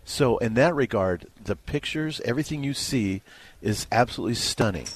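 A man's voice: mid-range voice, expressive, normal speed, slight background noise. A man giving a conversational movie review as a critic, informal and emphatic in his delivery. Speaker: film critic.

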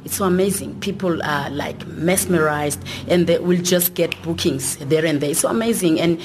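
A woman's voice: deep voice, moderate pace, background noise. A woman, an a cappella singer, talking enthusiastically in an interview with many hesitations. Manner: enthusiastic, hesitant with fillers and restarts. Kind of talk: casual interview talk.

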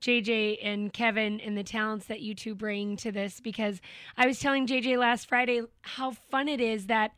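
A woman's voice: a little high, fairly even intonation, moderate pace, fairly bright tone, clear, resonant tone, no room sound. An American woman chatting casually and enthusiastically as a radio talk show host, close on a studio microphone.

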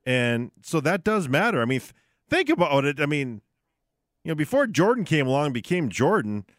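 A man's voice: fairly high pitch, sing-song, moderate pace, dry recording. Man hosting sports talk, dry studio sound. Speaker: sports radio host in studio. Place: radio studio.

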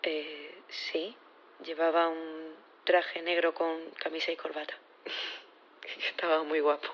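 Low-voiced, nervous female witness. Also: flat delivery, dull audio. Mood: nervous, tender. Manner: halting, unsure, stammering. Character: nervous, hesitant female science professor on witness stand. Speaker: actor in audio fiction. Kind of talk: naturalistic audio drama acting.

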